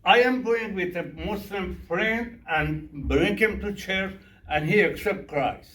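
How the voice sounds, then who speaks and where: Persian-accented man, a minister giving a slow workshop talk in broken English, recorded from afar in an echoey room. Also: high voice, a bit bright. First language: Farsi. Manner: broken English, repeats questions to the audience. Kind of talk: workshop talk with audience questions. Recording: echoey room from a distance, faint noise.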